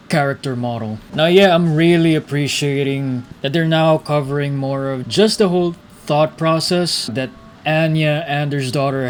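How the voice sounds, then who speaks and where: A young man commenting casually on a game. He has a medium-pitched voice and muses aloud as a streamer would, with faint background noise. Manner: musing aloud, reading out dialogue.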